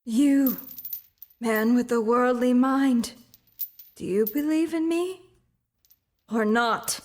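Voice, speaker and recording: An actor voicing the mournful ghost of an old businessman bound in chains, in a student radio drama, speaking solemnly and dropping into breathy whispers. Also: very high-pitched, slow.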